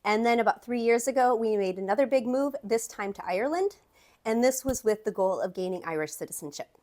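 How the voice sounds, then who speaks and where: A woman from the American Midwest speaks with a Wisconsin accent, sounding like someone in her thirties. She talks straight to camera as an immigration attorney, chatty and upbeat, restarting with "okay" and "um" as she goes. Her pitch sits in the middle range for a woman. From United States. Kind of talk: direct-to-camera talk.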